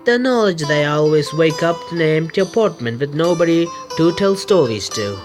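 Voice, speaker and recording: Male game streamer, fairly high voice, halting read-aloud narration, casual asides, music underneath. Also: lively intonation. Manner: halting, stumbling over the written text. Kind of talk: reading visual novel text aloud with casual commentary.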